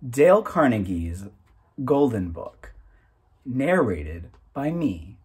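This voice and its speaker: A man in his thirties hosting his own channel, talking casually and a little self-consciously in a halting, informal way. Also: mid-range voice, big pitch swings, darkish tone, husky. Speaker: host of a reading channel. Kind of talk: casual, unscripted chat to camera.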